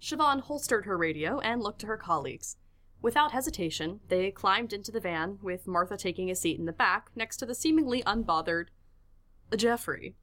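A woman's voice: mid-range voice, expressive, moderate pace, sharp tone. A woman narrating a tabletop audio drama as game master, reading scripted lines with playful asides.

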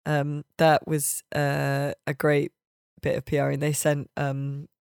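A woman's voice: deep voice, completely flat delivery, takes it slowly, vocal fry, a little mellow, clear, resonant tone, dry recording. Woman with a close, dry sound. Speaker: podcast co-host. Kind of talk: casual, jokey video game chat.

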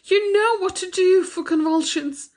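A woman's voice: big pitch swings, moderate pace, a little mellow. A woman reading a storybook, voicing an emotional, lonely woman in a tremulous voice. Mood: frantic pleading. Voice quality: tremulous, breathy high voice. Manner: pleading, breathless. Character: distraught lonely woman, pleading and tearful.

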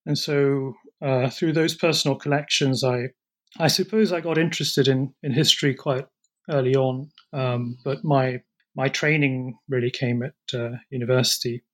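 A man's voice: mid-range voice, steady tone, moderate pace. A middle-aged man, a historian raised in France and the UK, answering interview questions in a thoughtful, slightly hesitant way. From born in Peru, raised in France and the UK.

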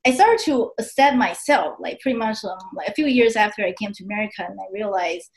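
A woman's voice: mid-range voice, quick pace, poor audio. A woman from Taiwan with a Taiwanese Mandarin accent, a podcast guest telling her story in casual chat, full of fillers and laughs. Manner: chatty, many fillers, laughs often. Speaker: guest author.

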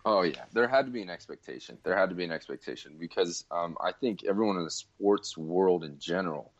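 A man's voice: sits low, animated, fairly quick. A man co-hosting a casual sports podcast, talking in a rueful, self-deprecating way. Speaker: podcast co-host. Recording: dull lo-fi sound.

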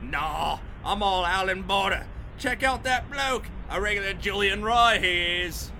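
A man's voice: sits high, animated, measured pace, sharp tone, slight background noise, full character voice. A man voicing a chirpy Cockney bloke who banters cheekily in rhyming slang, with a Cockney accent. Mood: cheeky. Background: music.

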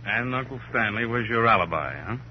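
A muffled, faintly noisy old radio recording of an actor playing a male American cop who needles and taunts. His lowish voice moves up and down freely with slangy sarcasm. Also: expressive, fairly quick, lightly acted. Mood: taunting. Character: mocking, needling tough male cop, a detective's sidekick. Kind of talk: 1950s radio crime drama acting.

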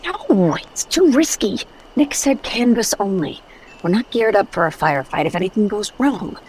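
A woman's voice: mid-range voice, lively intonation, normal speed, darkish tone, a little hiss or hum, close to an everyday voice, rough recording. An actress playing a blunt, world-weary female adventurer in a radio detective drama. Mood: cautious. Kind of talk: old-time radio drama acting.

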